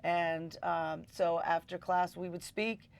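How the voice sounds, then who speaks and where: A woman's voice: fairly low pitch, steady tone, takes it slowly, vocal fry, clear, resonant tone. A middle-aged woman teacher talking in an interview, enthusiastic and full of ums. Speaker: high school teacher.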